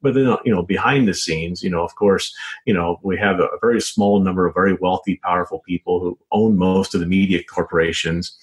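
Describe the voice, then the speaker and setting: An American man answering as an interview guest in a conversational way, halting, often repeating words and saying "you know". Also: deep voice, fairly even intonation, rough recording. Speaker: interview guest, author and journalist.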